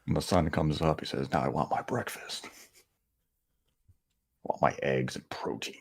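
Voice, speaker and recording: A soft-spoken man co-hosting a podcast, reading viewer comments aloud in casual chat. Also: a bass voice, moderate pace, vocal fry, breathy edge, no room sound, studio quality. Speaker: podcast co-host.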